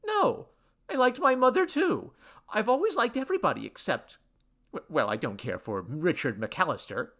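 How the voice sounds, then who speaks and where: A man's voice: sits high, very animated, moderate pace, a bit bright, voice pushed up, heavily acted. A man playing a nervous young college academic in a story, talking in a shaky, anxious babble. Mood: flustered.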